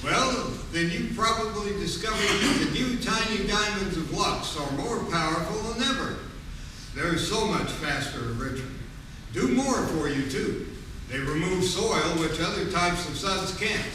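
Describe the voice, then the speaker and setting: A man playing a polished 1940s radio announcer, reading a scripted sales pitch on stage. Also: animated, unhurried. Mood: promotional. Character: smooth-selling male 1940s radio announcer. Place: stage of a community theatre. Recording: echoey theatre from far off, noisy.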